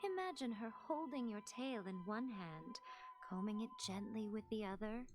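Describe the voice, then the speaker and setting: A woman voicing a sly, teasing shrine priestess in a video game, coy, composed and gently mocking. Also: medium pitch, moderate pace, lightly acted. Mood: sly, amused. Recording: game audio with clear background music.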